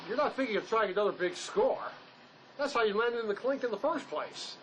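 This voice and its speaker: A man's voice: high-pitched, expressive, a little fast, low-quality recording. An actor playing an anxious, protective male ex-con in a drama, earnest, fussing and full of questions. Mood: suspicious. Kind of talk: dramatic script performance. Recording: faint background noise.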